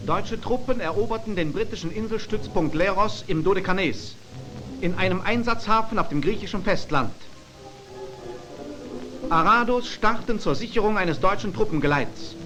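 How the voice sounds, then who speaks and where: A high-voiced man delivers scripted narration for a 1940s propaganda newsreel. His delivery is formal and declamatory, in the manner of a period announcer. Loud music plays behind him on the old film soundtrack. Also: moderate pace, low-quality recording.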